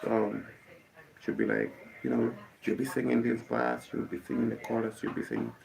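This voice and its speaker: A young Kenyan man, a musician whose first language is Kikuyu, telling the story of his life in a halting way, with many fillers and restarts. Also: medium pitch, creaky, a little mellow, poor audio. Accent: Kenyan English.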